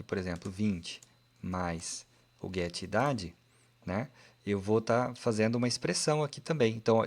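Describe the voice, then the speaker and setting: A man's voice: lowish voice, gravelly. A man, walking through a coding lesson step by step and often checking in with the listener. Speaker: programming instructor. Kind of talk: screen-recorded coding tutorial.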